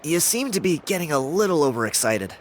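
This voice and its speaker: A man's voice: a little high, big pitch swings, a little fast, slight background noise. An actor playing a casual, teasing young man talking with a friend. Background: game music.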